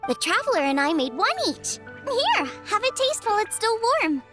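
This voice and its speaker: Teenage girl cook, very high girlish voice, lively melodic range, chirpy, eager, friendly, game cutscene acting. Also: moderate pace. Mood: upbeat. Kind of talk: English video game voice acting. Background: music.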